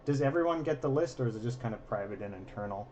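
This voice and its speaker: A slightly hoarse man hosting a casual live stream, with a self-deprecating, joking manner. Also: medium pitch, animated, fast talker, darkish tone. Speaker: livestream host and telescope operator. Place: observatory during a live stream. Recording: dull sound with faint background noise.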